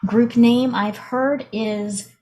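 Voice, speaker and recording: An upbeat, high-voiced female showbiz interviewer, played by a woman at a game table. She presents with emphasis and repeats phrases as she introduces pop hopefuls. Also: fairly even intonation, extremely slow, a little mellow. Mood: upbeat, announcing.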